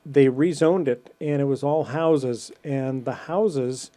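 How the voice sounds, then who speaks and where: A man's voice: medium pitch, slow, mellow. A man hosting a podcast who muses casually and rambles, with plenty of fillers. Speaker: podcast host. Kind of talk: casual chat.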